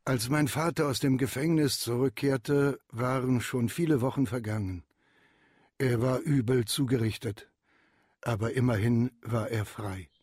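Ein Schauspieler spielt einen erwachsenen Sohn, der im Hörspiel nachdenklich von seiner Kindheit erzählt. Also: male voice, mid-range voice, unhurried, a little mellow, husky. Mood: reflective. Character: grown son narrating his wartime childhood, reflective. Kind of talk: reflective radio drama narration.

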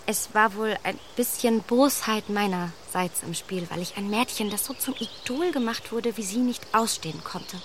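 An actress playing a witty, candid, self-assured young gentlewoman in a German radio drama. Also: medium pitch, normal speed, hardly any pauses, background noise, lightly acted. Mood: candid, critical.

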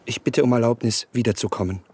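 An actor playing an earnest, dreamy young gentleman in a radio drama, speaking in an introspective, literary manner. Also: mid-range voice, fairly even intonation, quick pace, slightly rough, natural voice. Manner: introspective, literary inner monologue. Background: instrumental music.

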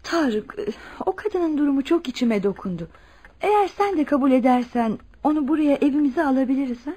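Adoptive mother, radio drama, high-pitched, tender-hearted, eager, coaxing. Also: animated, brisk, mellow. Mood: sympathetic, coaxing. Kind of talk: Turkish radio theatre acting.

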